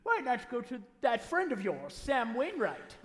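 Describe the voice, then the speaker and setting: An actor playing a cruel, gloating old banker villain with a sneering, theatrical delivery in a radio play. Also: male voice, very high-pitched, wildly swooping pitch, unhurried, a crowd in the background, full character voice. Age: older. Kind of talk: 1940s radio play acting. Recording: reverberant hall, live stage taping.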